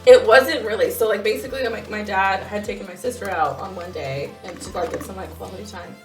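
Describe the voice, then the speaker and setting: A woman's voice: mid-range voice, brisk. Young woman chatting in an echoey room. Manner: slangy, laughs easily, banters. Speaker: podcast co-host. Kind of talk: casual chat, animated storytelling. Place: small home garage studio. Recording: roomy echo, faint music.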